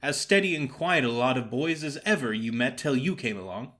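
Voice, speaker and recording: A man's voice: medium pitch, expressive, fairly quick, fairly bright tone, close to an everyday voice. Guy doing a chummy old-time theatre comedian, breezy and needling, voice swinging up and down. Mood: exasperated, mocking. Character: genial, teasing male stage comedian, worldly and blunt. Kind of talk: audio drama story reading.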